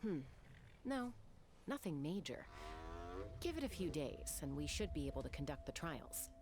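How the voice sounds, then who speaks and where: A woman playing a calm, capable young mediator in a video game, measured and matter-of-fact. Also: sits low. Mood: composed.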